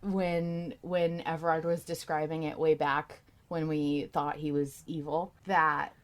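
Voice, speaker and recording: A woman with a fairly low voice chats as a podcast host. She talks casually and informally about fiction she follows, with a sweary, unpolished delivery. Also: flat delivery, unhurried. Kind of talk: casual fan discussion with co-host.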